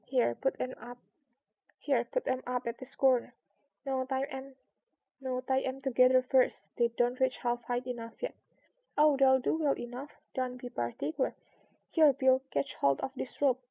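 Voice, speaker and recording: A woman's voice: sits high, little pitch movement, normal speed, creaky. A woman voicing bustling, bickering small animal workers in a storybook, urgent and rustic. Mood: hurried, bickering. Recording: dull lo-fi recording.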